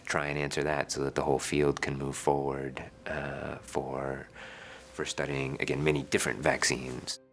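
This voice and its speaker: A man, a researcher, describing his lab's goals in a steady, thoughtful documentary interview. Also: rumbling low. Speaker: immunology researcher.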